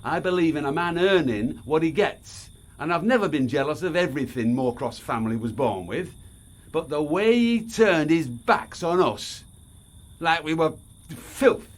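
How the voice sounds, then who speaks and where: A man's voice: a little high, lively intonation, normal speed, lightly acted. A man playing a plain-spoken, bitter working-class townsman in an audio drama, blunt and folksy. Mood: proud, bitter. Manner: blunt, folksy, says aye.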